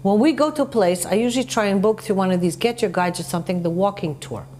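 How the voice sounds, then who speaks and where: A woman hosting a conversational TV interview, telling anecdotes in an animated, emphatic way. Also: mid-range voice, fast talker, a little hiss or hum. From North America. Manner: animated, emphatic storytelling with acted-out quotes. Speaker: talk show host.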